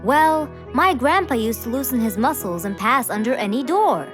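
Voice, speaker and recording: Woman as high-voiced, assertive young cartoon animal. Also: animated, moderate pace, over music, close to an everyday voice. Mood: chatty. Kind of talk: children's cartoon voice acting.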